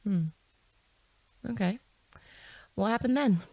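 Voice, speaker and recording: Suspicious wife, audio drama: mid-range, controlled, persistent, sceptical interrogator, naturalistic delivery in a dry acoustic. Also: female voice, mid-range voice, slow pace, mellow, no echo, poor audio.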